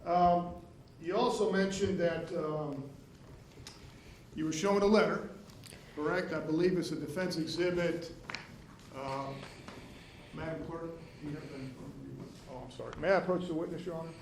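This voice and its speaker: A man's voice: fairly high pitch, fairly even intonation, normal speed. A man whose first language is Spanish, a prosecutor questioning a witness in a loose, rambling, self-deprecating way. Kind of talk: courtroom redirect examination. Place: courtroom. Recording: echoey courtroom, faint hiss.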